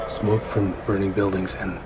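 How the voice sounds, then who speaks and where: A man's voice: low voice, flat delivery, moderate pace, very noisy, close to an everyday voice. An actor playing a tense survivor of a zombie outbreak, narrating his escape in the first person for a radio play. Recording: loud music under the voice.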